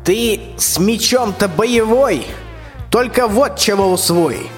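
A man's voice: high voice, slow. A male performer playing a bossy, threatening tsar who orders people about in folksy rhyme in a radio fairy tale. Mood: scornful, threatening. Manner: commanding, folksy rhymed speech. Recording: long reverb, music behind.

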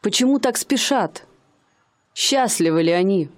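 A woman's voice: mid-range voice, expressive, measured pace, lightly acted. An actress playing a young woman who poses as a bored rich lady in a radio play, speaking in a haughty, mannered way. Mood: curious. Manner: haughty, mannered, languid speech.

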